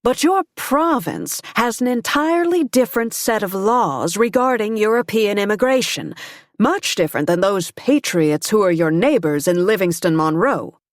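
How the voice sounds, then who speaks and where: A woman doing a proud, outspoken society lady who projects her voice to carry across a room, lifted high and firm in pitch. Close, dry sound. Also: lively intonation, moderate pace, no room sound, natural voice. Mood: pointed. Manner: projecting, lecturing. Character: haughty, outspoken political wife.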